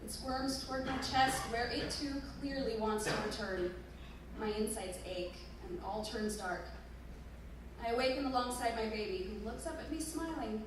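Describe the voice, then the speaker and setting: Female reader, higher-pitched voice, dramatic literary storytelling, expressive emphasis, distant mic in a heavily reverberant space. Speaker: performer reading a short story aloud. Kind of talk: dramatic first-person literary reading. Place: live stage reading in a museum hall. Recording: very echoey room, distant mic, noisy.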